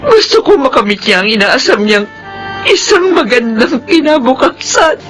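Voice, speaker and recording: A woman's voice: high voice, big pitch swings, moderate pace, a bit bright, faint music behind. An actress playing a troubled, devoted mother in a Filipino radio drama, emotional and heavy-hearted. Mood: tearful resolve. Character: troubled mother, self-doubting yet devoted to her child.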